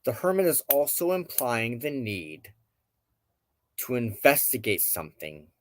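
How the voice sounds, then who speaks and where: Slow-spoken man giving a tarot reading. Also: mid-range voice, big pitch swings, no room sound. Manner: deliberate phrasing with frequent pauses. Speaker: host of a spiritual tarot show. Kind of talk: tarot card reading, reflective spoken commentary.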